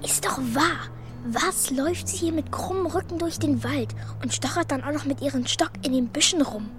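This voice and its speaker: A woman's voice: high-pitched, normal speed, music in the background, close to an everyday voice. A woman playing a stubborn, blunt and cheeky little orphan girl in a children's radio play. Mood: cheeky, defiant. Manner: blunt, pert, defiant. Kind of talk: children's radio drama acting.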